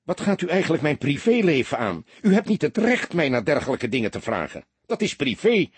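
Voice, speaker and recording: A man's voice: a little high, big pitch swings, fairly quick, husky, no room sound, pitched above the performer's own voice. A man voicing an agitated, indignant young soldier under questioning in an audiobook, protesting in distress. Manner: stammering, yelling protests. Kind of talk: audiobook character acting.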